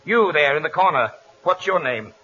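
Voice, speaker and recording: An actor playing a flustered, pompous, biased judge in a 1940s radio comedy, stammering and blustering. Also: male voice, a little high, expressive, normal speed, lightly acted. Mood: indignant. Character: flustered, irascible, biased male courtroom judge. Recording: muffled, noisy 1940 radio recording.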